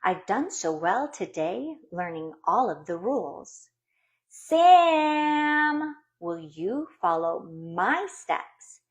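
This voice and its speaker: A woman's voice: medium pitch, big pitch swings, drawn-out words. A woman voicing an eager little girl, a proud younger sister, in a children's storybook read-aloud.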